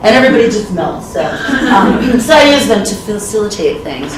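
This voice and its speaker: A woman with a loud voice, a school outreach coordinator, giving a lively, chatty panel talk without a microphone. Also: mid-range voice, moderate pace, noisy background. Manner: lively, chatty, self-deprecating. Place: conference room. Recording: echoey room, distant from mic.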